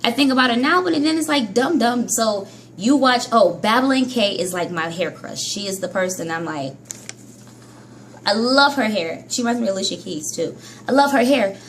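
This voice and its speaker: A woman vlogging about hair care in a casual, chatty monologue, scolding herself and jumping between high and low pitch. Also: lively intonation, normal speed, a little hiss or hum. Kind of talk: casual vlog monologue to viewers.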